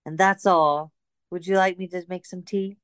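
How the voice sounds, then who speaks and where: A woman's voice: on the low side, steady tone, normal speed, clear, resonant tone, no room sound, studio quality, close to an everyday voice. Bold, affectionate young woman in secret love, acted. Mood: content. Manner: endearing, frequently says darling. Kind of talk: radio drama acting.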